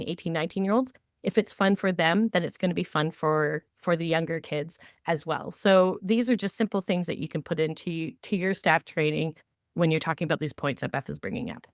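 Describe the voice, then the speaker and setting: A woman hosting a podcast, talking conversationally and explaining with animated examples. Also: fairly low pitch, no echo, studio quality. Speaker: podcast host. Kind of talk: conversational training discussion.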